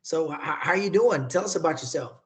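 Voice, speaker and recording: Guy moderating an online event, higher voice, lots of uhs and restarts. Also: animated, a bit bright. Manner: halting, repeats words, many fillers. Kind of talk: hosting a virtual event.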